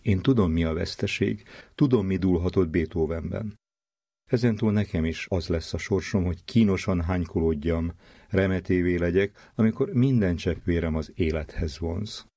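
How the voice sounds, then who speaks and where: A man's voice: sits low, dark tone, no room sound. A man giving a solemn, dramatic literary reading as an anguished man grieving his lost hearing.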